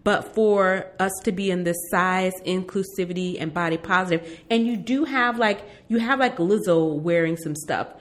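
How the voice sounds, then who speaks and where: A woman's voice: fairly low pitch, normal speed, hardly any pauses. A woman co-hosting a podcast, chatting informally and acting out what people said as she tells things. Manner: informal, acts out quoted remarks. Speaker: podcast co-host. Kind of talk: casual conversational storytelling and opinion.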